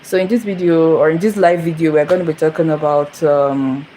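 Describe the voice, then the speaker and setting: A woman's voice: deep voice, fairly even intonation, a little fast, dark tone, slight background noise. A young woman from Nigeria hosting a casual phone livestream, rambling and repeating herself as she greets viewers. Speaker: channel host. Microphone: phone camera.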